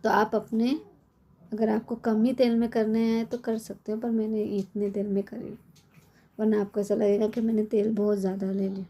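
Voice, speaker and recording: A middle-aged woman with a hoarse, cold-worn voice, chatting casually as she explains a home recipe step by step in her kitchen. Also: medium pitch, level tone, normal speed, dark tone. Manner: chatty, explains step by step with frequent tag questions. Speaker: cooking channel host. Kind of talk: casual cooking tutorial.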